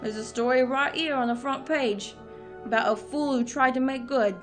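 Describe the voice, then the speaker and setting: Lady doing a cheeky little paper boy, high voice, street seller patter, bit of music behind. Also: moderate pace, natural voice. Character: cheeky young street newsboy. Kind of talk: dramatic audio drama acting.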